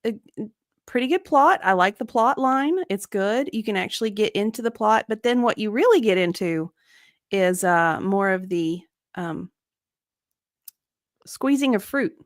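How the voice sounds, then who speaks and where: A woman's voice: medium pitch, expressive, a little slow, dry recording, clean studio sound. A woman co-hosting a livestream with casual, playful banter.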